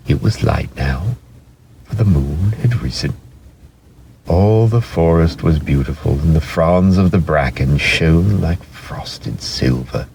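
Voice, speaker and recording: A man narrating a children's story as a dramatic reading, expressive and measured, with deliberate pauses. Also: a bass voice, gravelly, background noise.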